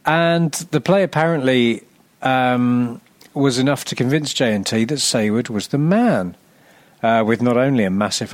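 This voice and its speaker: A man reading a scripted, humorous narration as a podcast presenter, with a wry, deadpan, sardonic delivery. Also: mid-range voice, dry recording.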